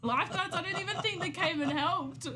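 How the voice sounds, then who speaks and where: A woman's voice: very high-pitched, a little fast, noisy background. A young woman from Italy, Italian first, chatting casually as a podcast guest, laughing often and acting out her own past thoughts. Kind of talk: casual chat.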